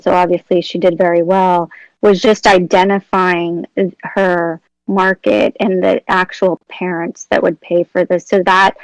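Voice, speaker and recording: A woman investor on a video call, giving measured, helpful feedback on a startup pitch. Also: no room sound, rough recording.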